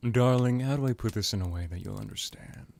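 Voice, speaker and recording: A man voicing an ancient, smug vampire crime lord in an ASMR roleplay, drawling with theatrical pauses and sarcastic condescension. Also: fairly low pitch, gravelly, darkish tone. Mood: boastful.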